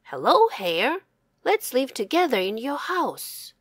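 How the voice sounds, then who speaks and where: A woman voicing a bossy, sly female fox in a sharp, commanding storybook manner. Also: high voice, very animated, takes it slowly, husky, dry recording, studio quality, pitched above the performer's own voice, full character voice. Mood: friendly, sly.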